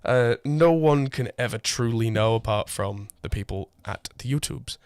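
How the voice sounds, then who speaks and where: A man in his thirties hosts a relaxed podcast from a home studio, chatty and self-deprecating. His voice sounds slightly hoarse from a cold. He speaks close to a condenser mic in a dry, dead room. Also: medium pitch, moderate pace, dry recording. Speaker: podcast host. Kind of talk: casual chat. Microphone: condenser mic through an audio interface.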